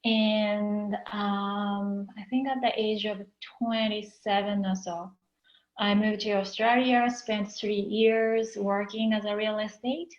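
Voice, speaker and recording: A woman from Japan, a counseling faculty member who introduces herself in a careful, measured way. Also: mid-range voice, a little mellow, reverberant, muffled recording.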